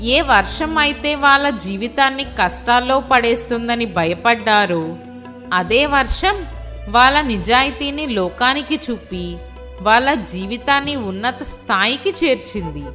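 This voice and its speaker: A woman's voice: fairly high pitch, animated, unhurried, pushed and bright. A woman reading scripted narration as the storyteller of a moral tale. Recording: prominent music behind.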